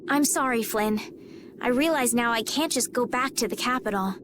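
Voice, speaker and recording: A woman playing an earnest, gentle young princess, anxious but determined, in an anime video game. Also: on the high side, moderate pace, noisy background, lightly acted. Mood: earnest, resolute.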